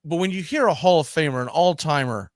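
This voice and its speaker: A man's voice: on the high side, animated, fairly quick, no echo, studio quality. A man hosting a live baseball history show, chatting casually and often repeating and rephrasing as he goes. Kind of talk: casual sports chat with co-host.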